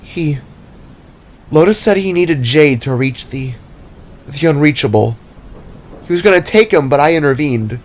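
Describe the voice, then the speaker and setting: Casting: man playing hesitant young woman, soft raised voice, shaken, fantasy audio story over music. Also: a little high, expressive, unhurried, long pauses, slight background noise, voice pushed up.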